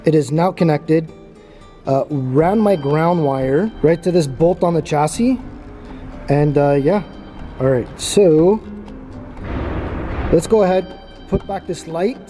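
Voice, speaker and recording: A man giving a casual how-to narration on installing a radio in his truck, talking in a relaxed, rambling way with lots of fillers. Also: on the high side, slow pace, long pauses, soft background music. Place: parking garage beside his truck.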